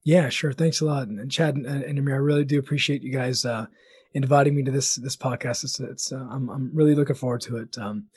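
A man's voice: mid-range voice, fairly even intonation, fairly quick, darkish tone, husky, dry recording. A man from Florida, a surgeon, talking casually as a podcast guest with many fillers and restarts. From Florida, United States. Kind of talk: casual interview talk.

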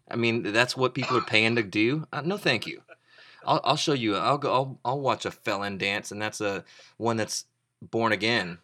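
A young man hosting a show with loud, joking banter and mock-showman flourishes. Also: lowish voice. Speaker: podcast host. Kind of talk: comedic casual chat.

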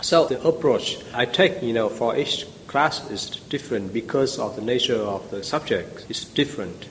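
A man's voice: medium pitch, moderate pace, a bit bright, long reverb tail, slight background noise, low-quality recording. A man, a language teacher answering interview questions thoughtfully, with frequent fillers and restarts. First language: Vietnamese.

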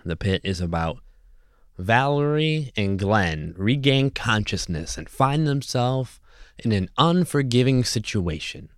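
A man's voice: medium pitch, very animated, measured pace, dry recording, studio quality. A man hosting a podcast, talking casually and earnestly in an encouraging, motivational way. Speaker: podcast host. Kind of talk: casual motivational solo talk.

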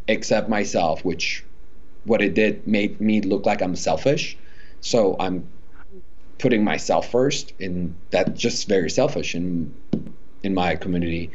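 A man talks as an interview guest, his voice sitting on the low side. He speaks earnestly and haltingly, circling back to restart his thoughts. The recording is dull and a little lo-fi. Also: a little mellow. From Iran. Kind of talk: candid personal interview.

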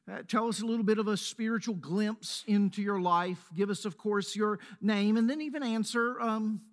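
A man with a Mississippi accent, a pastor leading a prayer and then preaching a sermon in a measured, earnest way. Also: high voice, fairly bright tone. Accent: Mississippi Southern. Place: church sanctuary.

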